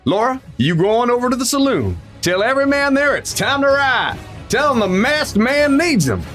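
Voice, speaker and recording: A man acts out a Western lawman from a radio serial, high in pitch and swinging widely as he speaks. He has an American folksy drawl and the firm resolve of someone who leads a posse. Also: very animated, normal speed, fairly bright tone, soft background music, heavily acted. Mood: urgent. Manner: folksy drawling frontier speech. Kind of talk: old-time Western radio drama acting.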